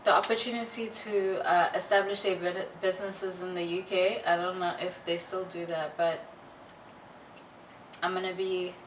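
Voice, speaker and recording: A young woman vlogger chatting casually to her viewers in a candid, halting, reflective way with slangy asides. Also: fairly low pitch, level tone. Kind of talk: casual personal chat to viewers. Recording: roomy, distant mic, faint noise.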